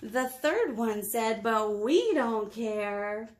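Slow, high-pitched American woman, storytime reader. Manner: encouraging, expressive, addressing young children. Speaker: children's librarian leading storytime. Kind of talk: storytime read-aloud with asides to children. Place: small indoor meeting room.

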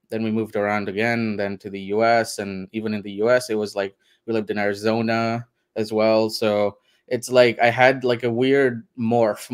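Smooth low-voiced man from India. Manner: relaxed, thoughtful, many fillers and hedges. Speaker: interview guest. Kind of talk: casual interview. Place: home room, video call.

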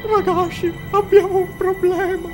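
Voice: very high-pitched, flat delivery, a little slow, loud music behind the voice. An actor voicing a timid, anxious animatronic fox in a parody cartoon, repeating words in nervous bursts. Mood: panicked.

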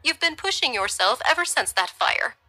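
Woman doing an anxious, caring middle-aged wife, pleading and bright-voiced. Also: very animated, moderate pace, soft background music, full character voice. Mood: worried. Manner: pleading, higher and gentle.

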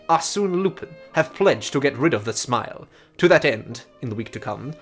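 A snooty, roguish French gentleman thief, as a man reads him out, grand and full of himself. The delivery is lively and swings in pitch. Faint music plays behind. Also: natural voice. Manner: grandiose, mock-French reading of a letter. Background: game music.

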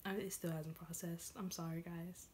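A woman in her twenties chatting casually and excitedly as a reaction video host, halting and leaning often on "like". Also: on the low side. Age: 20s. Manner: excited, gushing; halting, repeats "like" heavily. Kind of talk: casual reaction vlog chat. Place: small room at home, indoors. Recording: clearly noisy home room recording.

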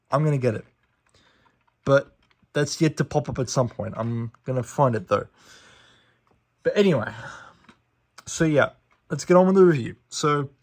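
A young man casually reviewing a DVD in a small living room, listing facts and correcting himself, recorded on a handheld phone. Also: medium pitch. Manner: fact-listing, self-correcting, chatty with fillers. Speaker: DVD collector reviewing a disc. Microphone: phone camera held over the disc.

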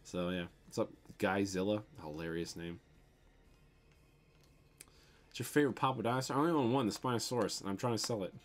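Young midwest dude rambling on his bedroom stream, lowish voice, says um a lot. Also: fairly quick, long pauses, soft background music. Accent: Midwestern American. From American Midwest. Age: young adult. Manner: rambling, loose, swears casually, many ums. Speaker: toy unboxing livestream host. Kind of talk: casual livestream chat reading viewer comments. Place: bedroom, indoors.

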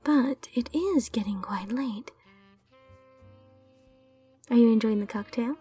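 Woman playing a breathy, slow-spoken mysterious bartender. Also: on the high side, warm tone, recorded from a distance, faint music behind, heavily acted. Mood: soothing, reassuring. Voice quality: breathy, whispery. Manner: slow, soothing, reassuring delivery. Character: mysterious, gentle, comforting woman bartender in magical bar. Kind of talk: soft-spoken ASMR roleplay.

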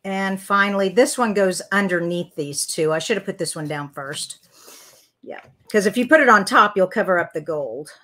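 A woman's voice: medium pitch, normal speed, fairly bright tone. An older woman hosting a live card-making tutorial, chatting as she works and reading viewer comments aloud. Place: craft room at a desk.

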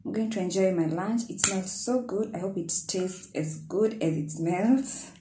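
Slow-talking young woman in an echoey room. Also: mid-range voice, mellow. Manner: chatty, listing items with fillers and self-corrections. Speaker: vlogger. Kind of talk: casual vlog narration. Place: small indoor room at a table. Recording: echoey room with clear background noise.

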